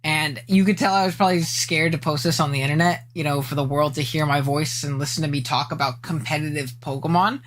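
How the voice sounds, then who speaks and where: A young man streaming live, his voice light and high rather than deep. He rambles and restarts, ribs his viewers and laughs at himself, and a faint hum sits under him. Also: steady tone, fairly quick, hardly any pauses, a bit bright. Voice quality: high-pitched, not deep. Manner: rambling, self-deprecating, teasing chat. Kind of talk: casual live-stream reaction chat.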